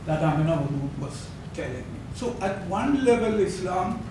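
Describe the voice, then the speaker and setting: A man's voice: high voice, expressive, moderate pace. Older man lecturing in echoey hall. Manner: hesitant, many fillers, chuckles. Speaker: academic speaker. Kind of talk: conference talk. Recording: echoey hall, distant mic, noisy.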